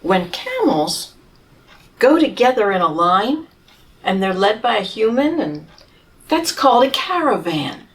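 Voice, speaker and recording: Woman storyteller for children, slow and gentle, lots of pitch movement, recorded from a distance. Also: sing-song, a little hiss or hum. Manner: gentle, explains pictures and asks children questions. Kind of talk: picture-book read-aloud.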